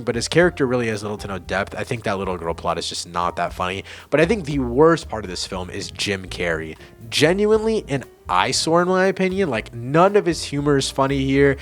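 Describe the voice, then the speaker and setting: A man hosting a casual ranking video, giving blunt opinions in informal, slangy language over background music. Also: mid-range voice. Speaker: video host and amateur film reviewer.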